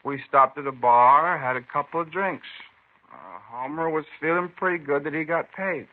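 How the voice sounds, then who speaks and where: A man's voice: a little high, normal speed, lightly acted. A man acting a tipsy, evasive, touchy American suspect who rambles in a 1950s radio crime drama, on an old tinny recording. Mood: boozy, evasive. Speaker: radio actor.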